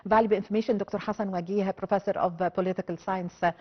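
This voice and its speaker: A woman hosting a formal broadcast interview, speaking in a very hesitant, halting way. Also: medium pitch, fairly even intonation, lo-fi sound.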